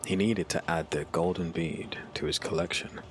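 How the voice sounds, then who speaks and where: A man narrating a dark fantasy audiobook in a solemn, dramatic, scripted style. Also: deep voice, steady tone, normal speed, slight background noise. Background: background music.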